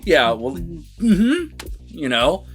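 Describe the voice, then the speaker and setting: Man, slightly high voice, very lively intonation, casual profane reviewer banter, faint background music. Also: very slow. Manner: animated, acts out imagined lines. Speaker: movie reviewer, co-host.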